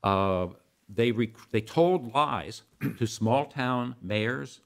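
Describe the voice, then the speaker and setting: Older male policy expert, interview guest, mid-pitched voice, emphatic conversational explainer. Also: animated, very slow, vocal fry.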